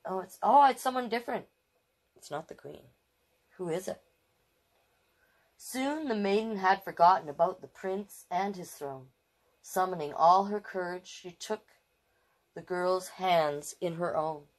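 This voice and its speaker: A woman's voice: lowish voice, a little slow, pauses often, poor audio. A woman hosting a storytime, reading a children's book aloud expressively and adding affectionate, chatty asides. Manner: expressive, affectionate commentary, repeating phrases. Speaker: storytime host reading picture books.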